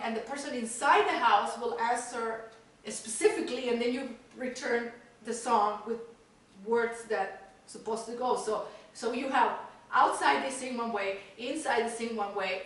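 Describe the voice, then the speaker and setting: A woman chatting in an upbeat way to camera as a cooking show host. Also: fairly high pitch. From Mexico. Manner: upbeat, chatty, gesturing as she talks. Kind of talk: casual chatty presenting to camera. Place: home kitchen, indoors. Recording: very echoey room, distant camera mic.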